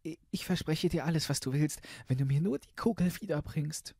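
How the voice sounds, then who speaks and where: A man's voice: a little fast, gravelly, dark tone, husky, no echo. A man voicing a young, vain princess who promises eagerly in a fairy-tale audiobook, with girlish exclaiming. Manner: high-pitched girlish exclaiming.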